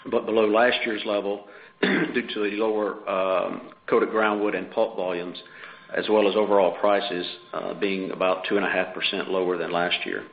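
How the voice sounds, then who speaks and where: A man speaking as a finance executive, giving a formal, businesslike scripted earnings presentation over a conference phone line. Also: fairly low pitch. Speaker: chief financial officer presenting results. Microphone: conference call phone line.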